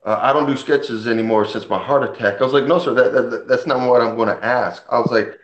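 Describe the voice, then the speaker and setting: A man's voice: mid-range voice, fast talker. A man from Puerto Rico, Spanish his first language, casually chatting as host about comics with enthusiastic, rambling stories. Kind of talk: casual chat. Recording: dull roomy sound.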